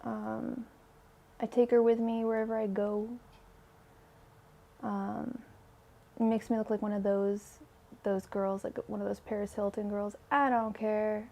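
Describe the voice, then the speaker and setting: A woman's voice: medium pitch, level tone, unhurried, creaky, pauses often, warm tone. A young woman hosting a live tarot stream, chatting casually with viewers and saying her voice feels weak today. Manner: chatty, filler-heavy, addresses viewers by name. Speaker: tarot reader hosting a livestream. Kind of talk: casual livestream chat.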